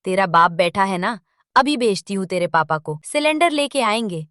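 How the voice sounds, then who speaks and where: A woman playing a proud, argumentative middle-aged mother and homemaker in a Hindi family story, scolding and quick to retort. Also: medium pitch, lively intonation, a bit bright, no room sound, clean studio sound, close to an everyday voice. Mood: reassuring.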